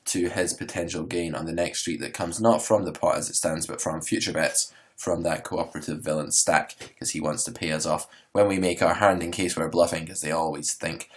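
A man, hosting a poker podcast and explaining strategy in a relaxed, rambling way with many fillers. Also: sits low, brisk, few pauses. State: hoarse. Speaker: poker coach and podcast host. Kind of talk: solo instructional podcast.

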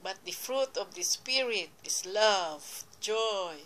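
A woman reading Bible verses aloud and explaining them in a slow, deliberate way, now and then correcting herself, recorded on a phone pointed at a computer screen. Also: mid-range voice, animated, a bit bright, slight background noise. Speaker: lay preacher. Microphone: phone camera filming a computer screen.

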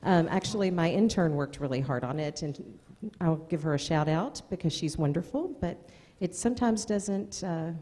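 A woman's voice: on the low side, expressive, normal speed, warm tone. A middle-aged American woman announcing award nominees at a public meeting from a podium, reading out names with informal asides. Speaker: school district presenter. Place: indoor meeting room at a podium. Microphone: gooseneck podium microphone. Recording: echoey meeting hall, long reverb.

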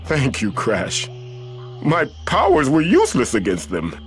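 Solemn ancient guardian spirit, animated. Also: male voice, medium pitch, measured pace, music in the background. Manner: solemn, theatrical. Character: wise, earnest ancient male guardian spirit mask. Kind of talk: video game cartoon acting.

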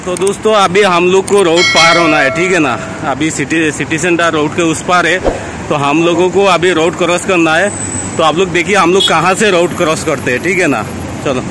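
A man's voice: fairly high pitch, moderate pace. A man in his thirties vlogging on a city street at night, talking to viewers through a phone held at arm's length, chatty and repetitive. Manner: chatty, repeats "thik hai na", addresses viewers as friends. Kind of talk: walking city vlog. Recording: loud street and traffic noise. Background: traffic and street noise.

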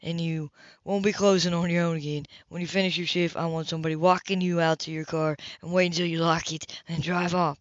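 A woman voicing a gruff, furious, bossy man who scolds and swears curtly in audiobook dialogue. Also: lowish voice, little pitch movement, a little fast, dry recording, muffled recording, close to an everyday voice. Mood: stern, angry. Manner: curt, scolding, profane. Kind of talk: dramatic audiobook character dialogue.

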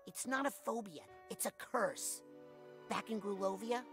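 A woman's voice: medium pitch, measured pace, a bit bright, faint music behind, close to an everyday voice. A woman voicing an earnest young psychic boy in a video game.

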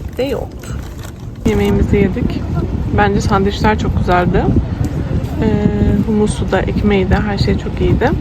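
A woman chatting casually to viewers as a travel vlogger. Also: mid-range voice. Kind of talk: casual vlog chat to viewers. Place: outdoors, on town streets. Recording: noisy outdoor recording, street ambience.

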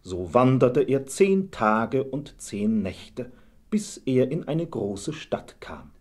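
A man's voice: mid-range voice, very animated, slow pace. A man narrating a scripted fairy tale as the storyteller.

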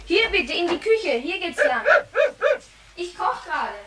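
A woman's voice: very high voice, very animated, measured pace, a bit bright, distant mic, exaggerated voice. A performer heard as a woman, playing an earnest, dutiful young boy in a 1960s German children's radio play. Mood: matter-of-fact. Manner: plain-spoken, dutiful. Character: earnest, responsible, hard-working young boy.

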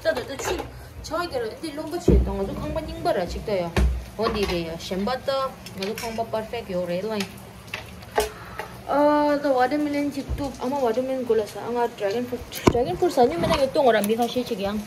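A woman's voice: a little high, moderate pace, very noisy. A young woman in her twenties chatting casually to her phone camera in a small home kitchen, switching between languages and swearing now and then. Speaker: vlogger. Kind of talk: casual vlog chat. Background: background music.

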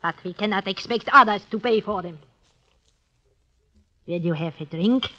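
An actress playing a cold, mocking blackmailing duchess in a 1950s radio drama, speaking in measured, formal phrases. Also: female voice, medium pitch, sharp tone, a little hiss or hum, natural voice. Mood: mocking, smug. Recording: muffled 1950s radio recording.

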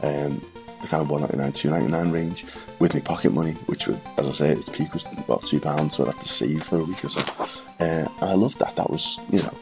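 He is a man in his thirties from the northwest of England, with the accent to match. His voice sits extremely low and crackles with fry, thickened by a cold. He speaks quietly and rambles casually, as if reminiscing late at night, with faint music underneath. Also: fast. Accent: northwest English. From Liverpool and Widnes, England. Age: 30s. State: congested with a cold. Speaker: retro gaming video maker. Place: small furnished room at home, indoors.

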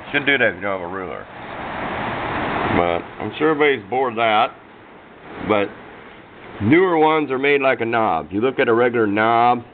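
An American man giving a casual, unscripted show-and-tell, talking in a plain-spoken, rambling, self-deprecating way. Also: mid-range voice. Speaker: hobbyist radio demonstrator. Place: indoors at a workbench. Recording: tinny phone-like sound with clear background noise.

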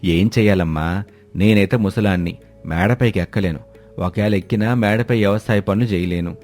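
A man voicing a gentle old father-in-law. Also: on the low side, moderate pace, soft background music, voice pushed down, natural voice. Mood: kindly. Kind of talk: animated storybook voice acting.

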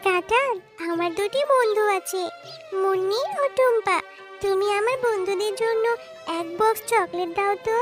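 A woman's voice: extremely high pitch, moderate pace. A woman voicing a sweet, helpful, childlike magical cartoon creature in a children's fairy tale, speaking simply and earnestly. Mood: sweet, eager. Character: childlike magical talking jackfruit and little fox girl, sweet, helpful. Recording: loud tinkling children's music behind.

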